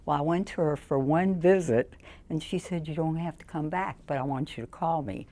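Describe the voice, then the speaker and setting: An older woman, chatting lively and laughing often as she tells her story as a patient. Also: sits low, moderate pace. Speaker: patient giving a testimonial. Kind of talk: personal testimonial.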